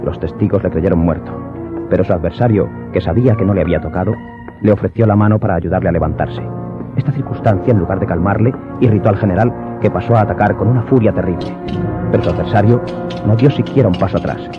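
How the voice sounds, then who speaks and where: A man's voice: sits low, quick pace. A man reading a scripted audiobook narration as the storyteller. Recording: dull lo-fi sound, faint music behind.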